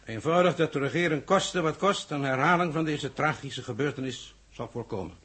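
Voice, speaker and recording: An actor playing a grave, secretive, authoritative government minister in a 1960s Dutch radio play, speaking formally and with measure. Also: male voice, fairly high pitch, normal speed, fairly bright tone, slightly rough. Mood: commanding. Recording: old 1960s radio drama recording.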